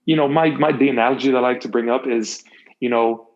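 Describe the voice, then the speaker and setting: A man's voice: medium pitch, fairly even intonation, fast talker. Male podcast guest, mid-range voice, earnest and emphatic, conversational, slightly dull recording. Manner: earnest, emphatic, repeats words and phrases. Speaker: podcast guest, motivational coach. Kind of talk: casual conversational interview talk.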